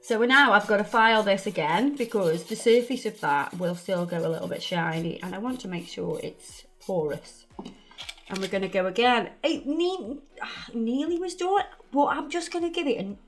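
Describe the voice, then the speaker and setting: A woman's voice: medium pitch, normal speed, a bit bright, soft background music. A woman nail technician, chatting casually through a tutorial while recovering from a cold. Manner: chatty, laughs a lot, local slang. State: bunged up from a cold.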